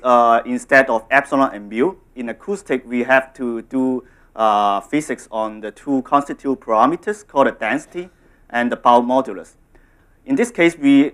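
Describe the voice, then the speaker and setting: Hong Kong-accented man giving a lecture. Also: medium pitch. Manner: steady, explanatory, some fillers. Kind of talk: scientific talk. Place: conference room.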